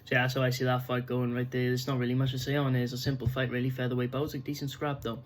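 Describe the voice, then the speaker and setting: A man's voice: medium pitch, monotone, racing, noisy background. A young Welsh man talking casually through his fight predictions and betting tips, rambling with fillers and restarts. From Wales. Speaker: MMA betting tipster.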